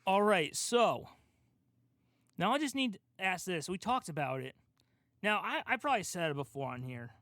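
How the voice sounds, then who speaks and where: Man with higher-pitched voice, casual podcast talk. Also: sing-song, moderate pace, long pauses, a bit bright, no room sound, studio quality. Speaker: podcast co-host. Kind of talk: casual podcast discussion of comic book films.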